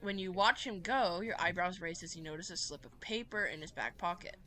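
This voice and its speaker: A woman's voice: lowish voice, animated, fairly quick, bright, forceful tone. A woman livestreamer reading a visual novel aloud, narrating dramatically and breaking off into playful asides. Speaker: streamer. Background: prominent music with plucked guitar.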